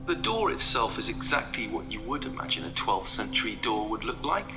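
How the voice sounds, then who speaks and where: An actor playing a cheerful, curious young amateur investigator in an audio drama, speaking in a wry, chatty, polite way. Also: male voice, fairly low pitch, big pitch swings, fairly quick, over music. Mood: enthusiastic, intrigued. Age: young adult.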